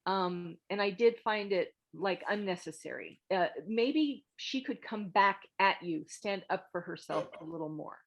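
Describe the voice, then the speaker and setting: A woman hosting an online group critique, giving frank, thoughtful script feedback in a halting, casual way over a video call. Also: mid-range voice. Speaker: host of a table read meet-up giving script feedback. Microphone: video call microphone.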